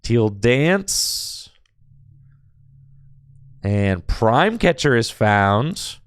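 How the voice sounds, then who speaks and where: Hoarse commentator, slow and smooth. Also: male voice, medium pitch, big pitch swings, dry recording. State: hoarse, losing his voice. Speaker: tournament stream commentator. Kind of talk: play-by-play card game commentary.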